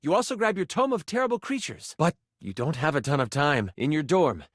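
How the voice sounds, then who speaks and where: A man playing a dramatic game master, narrating a fantasy adventure in a video game with suspenseful pauses. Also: on the high side, sing-song, no room sound, studio quality.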